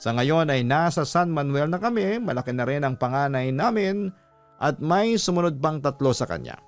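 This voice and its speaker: A man's voice: a little high, animated, moderate pace. A man with a Filipino accent hosting a story program, reading in a scripted narration style. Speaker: host reading a listener's horror letter. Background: music.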